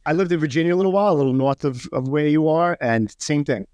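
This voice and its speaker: A male podcast host talks in a laid-back, casual way, tossing in the odd curse word and plenty of "yeah." His voice sits a little higher than most men's. It is recorded close and dry. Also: fast talker, clear, resonant tone, no echo. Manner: relaxed, curses casually, frequent "yeah" backchannel. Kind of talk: casual interview chat.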